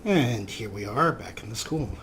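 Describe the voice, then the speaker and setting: A man's voice: medium pitch, expressive, normal speed. Chatty man narrating, noisy background. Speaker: let's play host. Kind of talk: casual let's play commentary with some read-out game text.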